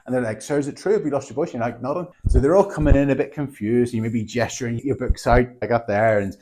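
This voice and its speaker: A man's voice: mid-range voice, fast talker, a little hiss or hum. A man, a maths teacher casually and animatedly explaining a classroom method to other teachers, often checking in with "okay?". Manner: chatty, animated, tags lines with "okay?".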